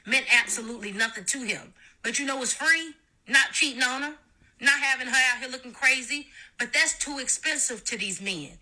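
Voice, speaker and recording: A woman's voice: high-pitched, fairly quick, sharp tone, low-quality recording. A woman in a social media video, lecturing her audience in a preachy way.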